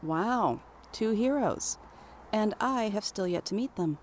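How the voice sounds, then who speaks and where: A woman's voice: medium pitch, animated, slow pace, darkish tone. A woman playing a blunt, skeptical but caring best friend in an audio drama, talking in a natural conversational way. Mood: dry.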